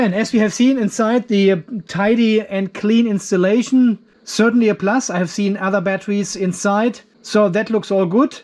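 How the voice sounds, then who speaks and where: Man, high-pitched voice, casual vlog host, talks things through aloud, restarts often. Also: darkish tone. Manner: chatty, thinks aloud, restarts and self-corrections. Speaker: channel host reviewing a home battery.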